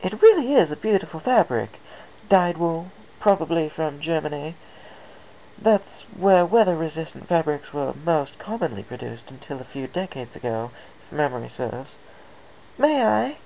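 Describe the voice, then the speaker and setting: A woman's voice: deep voice, very animated, moderate pace, creaky, mellow, slight background noise, pitched above the performer's own voice, full character voice, poor audio. A woman voicing a refined, fussy female pony dressmaker in a genteel, theatrical way, drawing out her words. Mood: polite admiration.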